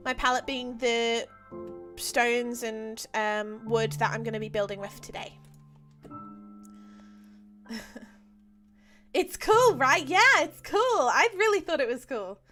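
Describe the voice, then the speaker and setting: Young aussie woman streaming, kinda high voice, lots of ums, bit of music behind. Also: slow pace, pauses often, fairly bright tone. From Australia. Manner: chatty, many ums and restarts, laughs easily. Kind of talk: casual live-stream chat while playing.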